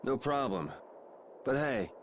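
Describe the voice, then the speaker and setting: Casting: cocky, blunt young rebel hero for a game, mid-range voice, clear noise behind. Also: male voice, medium pitch, expressive, slow. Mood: wry, blunt. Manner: casual, cocky, challenging. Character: defiant, sardonic young swordsman, blunt and loyal. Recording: clear background noise under game dialogue.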